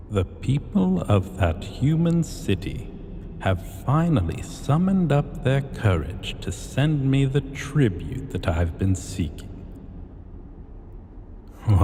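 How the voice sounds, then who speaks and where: In a long cavernous reverb, a man plays an arrogant, possessive dragon king for a fantasy roleplay. The voice is low and dark, with pompous, archaic phrasing that is drawn out very slowly. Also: a little hiss or hum, full character voice. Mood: pleased, menacing. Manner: pompous, deliberate, archaic formal diction. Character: arrogant, possessive male dragon king, ancient hoard-keeper. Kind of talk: scripted fantasy ASMR roleplay.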